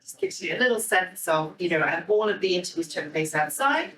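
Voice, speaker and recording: A woman's voice: lowish voice, expressive, fairly quick, a bit bright. An Irish woman giving a live, explanatory presentation to an audience, speaking a little hesitantly. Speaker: theatre director giving a talk. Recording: echoey room, distant microphone.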